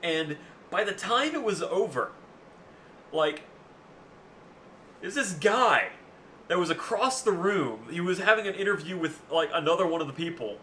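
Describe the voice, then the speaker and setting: A young man with a booming voice, rambling through a casual story as a streamer, swearing freely with lots of fillers. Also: animated, unhurried, pauses often, bright, forceful tone, slight background noise.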